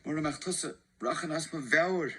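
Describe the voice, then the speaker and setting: A man's voice: fairly high pitch, brisk, bright, forceful tone, a little hiss or hum. An actor playing a married male schoolteacher in a secret love affair, speaking in an earnest, confiding way in an audio drama. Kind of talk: radio drama acting.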